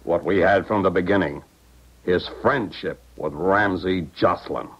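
An actor playing an irritable, no-nonsense American government agent, brusque and impatient, in a 1970s radio drama. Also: male voice, low voice, measured pace, breathy edge. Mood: irritated, brusque. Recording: muffled old radio broadcast.

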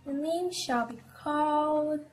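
A woman's voice: sits high, takes it slowly, faint music behind. A young female actor playing a playful, bossy Nigerian girl in a film drama, talking in a teacherly, teasing way. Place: porch outdoors.

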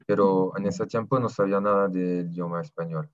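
A man's voice: sits low, steady tone, no echo. A young man from southern France, a native French speaker, answering interview questions in a hesitant way and often stopping to find words, heard over a video call. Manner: halting, searching for words with many pauses. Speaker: interviewee, Spanish learner. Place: small room at home. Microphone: laptop webcam on a video call. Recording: dull lo-fi video call audio.

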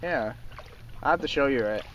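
A man's voice: medium pitch, lively intonation, normal speed, fairly bright tone, muffled recording. Young man vlogging outdoors, some background noise. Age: young adult. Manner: informal, addresses viewers. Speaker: vlogger filming a canoe trip. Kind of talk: casual running commentary. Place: outdoors in a canoe on a lake. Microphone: handheld camera mic. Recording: noisy outdoor handheld camera recording.

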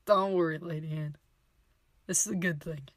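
A woman's voice: sits low, steady tone, moderate pace, no echo, studio quality. A woman playing a wry, loyal and reassuring palace guard in a fantasy audio drama, easygoing and joking.

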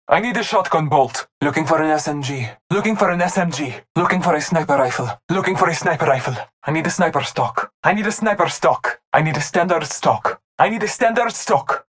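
An actor voicing a solemn, devout hunter in a video game, giving short callouts in a measured, formal, stoic manner. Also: medium pitch, lightly acted. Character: solemn, devout masked hunter, calm and reverent.

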